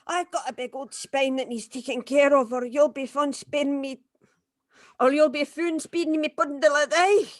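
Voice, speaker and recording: A woman's voice: high voice, fairly even intonation, normal speed, no room sound, clean studio sound, lightly acted. A woman playing a tipsy, cantankerous older woman in a drama table read, blunt and indignant with muddled words.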